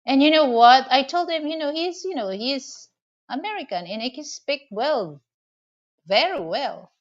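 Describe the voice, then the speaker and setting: A woman's voice: high voice, expressive, measured pace, lo-fi sound. A woman from the Philippines with a Filipino accent, chatting casually to her viewers and rambling with many "you know" and "okay?" fillers. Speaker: vlogger. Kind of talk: casual advice and storytelling to camera.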